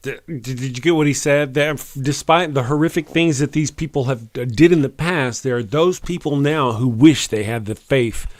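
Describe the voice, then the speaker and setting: A man's voice: medium pitch, dry recording. Texan man hosting, dry close sound. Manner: earnest, plain-spoken, halting. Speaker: live stream host. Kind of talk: casual commentary.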